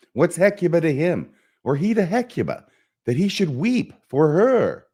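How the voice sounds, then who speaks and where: A man performing a self-loathing, melancholy young prince bent on revenge, in a declamatory, brooding theatrical soliloquy with dramatic pauses. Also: fairly low pitch, a little mellow, exaggerated voice. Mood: impassioned. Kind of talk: theatrical Shakespearean stage soliloquy.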